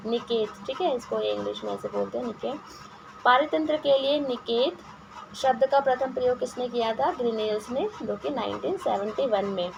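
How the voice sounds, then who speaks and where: A hoarse woman teacher goes through exam-prep questions, her slightly high voice gravelly and rough. She hesitates often and restarts her words. The recording is dull and lo-fi with clear background noise. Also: normal speed. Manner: hesitant, frequent filler sounds and restarts, mixes in English words. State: hoarse from a sore throat. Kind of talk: reading quiz questions and answers, casual explanation.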